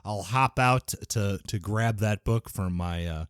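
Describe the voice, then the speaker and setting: A man's voice: lowish voice, unhurried, clean tone, no room sound, studio quality. A man hosting a podcast in a casual, conversational style, addressing the listeners. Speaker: podcast host.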